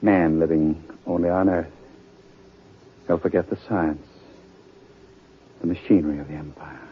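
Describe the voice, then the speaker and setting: An impassioned off-world scientist, bitter and desperate, in theatrical old radio style. The recording is dull and carries steady noise. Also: male voice, rumbling low, heavily acted. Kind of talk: 1950s radio drama acting.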